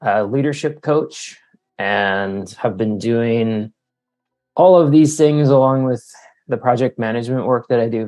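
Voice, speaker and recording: A man's voice: mid-range voice, vocal fry, darkish tone. A middle-aged man giving reflective, somewhat hesitant answers as an author and leadership coach being interviewed.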